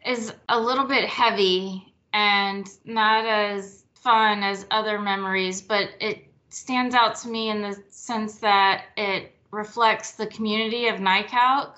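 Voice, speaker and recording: Host: woman with a bright, sharp voice, sincere tone, muffled lo-fi audio. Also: mid-range voice, steady tone, slow pace, hardly any pauses. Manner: heartfelt, sincere. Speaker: program host. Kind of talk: interview hosting. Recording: dull lo-fi audio.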